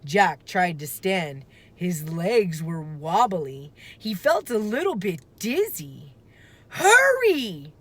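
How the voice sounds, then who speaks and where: A woman reading a children's book aloud with expressive, deliberate, dramatic phrasing. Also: lowish voice. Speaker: storybook reader.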